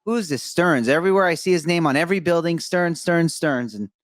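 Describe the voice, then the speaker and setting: A young adult man from Egypt hosting a podcast interview, talking in an animated, effusive, rambling way with many fillers. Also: on the high side, brisk, no room sound, studio quality. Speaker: podcast host. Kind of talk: enthusiastic interview chat.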